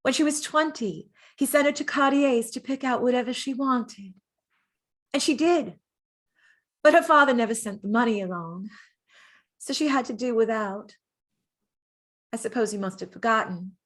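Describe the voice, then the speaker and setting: Gentle, wistful woman acting a devoted mistress, higher-pitched. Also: normal speed, pauses often. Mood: wounded, forgiving. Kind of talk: theatrical dramatic monologue.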